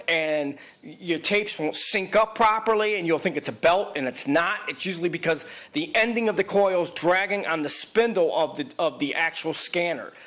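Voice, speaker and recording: A man with a distinctly high voice talks through a technical tutorial. He sounds like an experienced repair technician speaking informally. His delivery is plain-spoken and emphatic, circling back to repeat key advice. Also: a bit bright. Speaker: video equipment repair technician giving a tutorial. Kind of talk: informal instructional narration over pictures.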